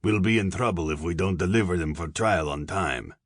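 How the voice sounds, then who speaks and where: A man's voice: sits low, brisk, no room sound, clean studio sound. A man voicing a curt, businesslike young guard lieutenant.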